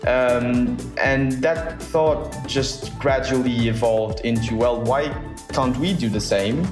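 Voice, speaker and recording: A young man from the south of Portugal, a founder answering interview questions thoughtfully with many pauses and restarts. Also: medium pitch. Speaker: startup founder, guest. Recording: roomy sound with music bed.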